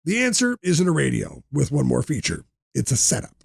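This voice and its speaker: A man's voice: on the high side, sing-song, fairly quick, creaky, breathy edge, no room sound, clean studio sound. A man presenting a scripted product review, speaking in short, direct, instructive sentences.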